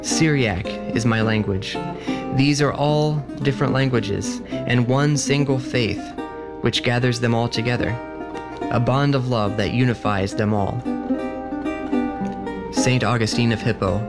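A man calmly reading a sermon excerpt aloud. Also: mid-range voice, unhurried. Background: guitar music.

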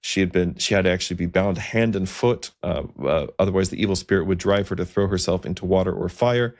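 Male podcast host, deep voice, loose storytelling style with ums and restarts. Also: fast, darkish tone. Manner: conversational, many fillers and restarts.

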